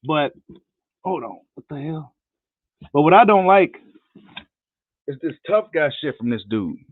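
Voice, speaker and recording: A hoarse man who says he is losing his voice, ranting heatedly and profanely on a live boxing vlog. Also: fairly high pitch, big pitch swings, measured pace, dry recording. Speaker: boxing commentator. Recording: tinny phone-like line, dry.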